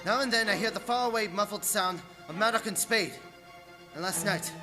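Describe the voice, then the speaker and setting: An actor playing an anxious English gentleman held captive, narrating his diary with earnest, suspenseful theatricality in a radio play. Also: high-pitched, a little fast, close to an everyday voice. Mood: fearful, desperate. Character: Jonathan Harker, anxious English gentleman, dread-filled captive diarist. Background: music.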